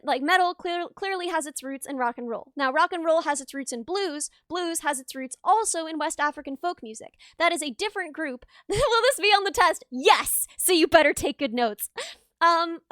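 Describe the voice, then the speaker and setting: A young woman streaming live, chatting casually with viewers in a rambling, laughing way, her voice a little raw and rough. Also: very high-pitched, fairly bright tone, dry recording, studio quality. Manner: rambling, chatty, laughs often, reads chat aloud.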